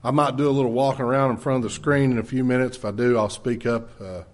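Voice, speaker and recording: A man, a care home's executive director, giving a plainspoken presentation to a church with many fillers and a loud voice. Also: mid-range voice, fairly even intonation, quick pace. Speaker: executive director of a care home, guest speaker.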